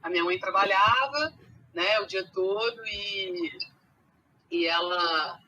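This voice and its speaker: A woman from Rio de Janeiro with a mixed Brazilian accent, carioca with traces of Minas, chatting as a podcast guest with many pauses and fillers. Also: mid-range voice, sharp tone, dull audio. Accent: mixed Brazilian Portuguese, carioca with Minas traces. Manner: hesitant, many fillers and restarts. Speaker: guest, animal science teacher. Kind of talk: casual podcast conversation.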